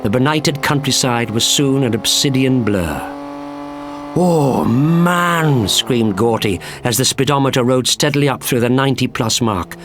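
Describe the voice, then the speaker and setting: A man's voice: medium pitch, normal speed, few pauses, a bit bright, faint music behind. A man reading a thriller story as a dramatic, scripted audiobook narration.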